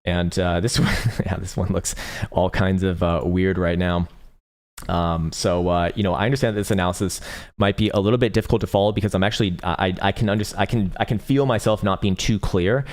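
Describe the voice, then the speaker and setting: A tired man with a raspy voice hosting a casual livestream, rambling and profane, with lots of fillers while he reads viewer chat aloud. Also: low-pitched, steady tone, a little fast. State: tired, short on sleep. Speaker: crypto livestream host. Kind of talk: casual livestream chart analysis.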